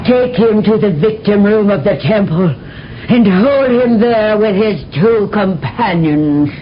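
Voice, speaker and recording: An imperious alien empress, regal, slow and deliberate, dull old radio sound. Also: female voice, mid-range voice, lively intonation, music in the background. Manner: regal, commanding, theatrical pronouncements. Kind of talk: 1970s radio drama acting. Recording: slightly dull vintage radio broadcast.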